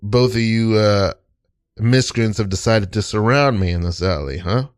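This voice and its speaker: A man with a fairly deep voice hosts an improvised comedy show. He speaks casually and playfully, circling back on his phrases for emphasis. The sound is dry, with little room to it. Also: normal speed, smooth, dry recording. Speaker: podcast host.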